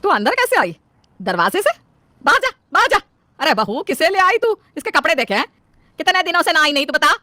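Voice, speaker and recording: A woman plays a bossy, stingy mother-in-law from an Indian family story. The voice is high and sharp, always demanding and finding fault. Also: sing-song, fairly quick, dry recording, clean studio sound, heavily acted. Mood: indignant. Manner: scolding, demanding.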